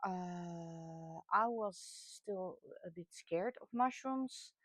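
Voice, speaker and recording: A woman in her late forties from the Netherlands with a Dutch accent, telling her own experience casually and candidly, often pausing to search for words. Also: fairly low pitch, fairly bright tone, no echo. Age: late 40s. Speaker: vlogger sharing personal experience. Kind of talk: casual personal talk to camera.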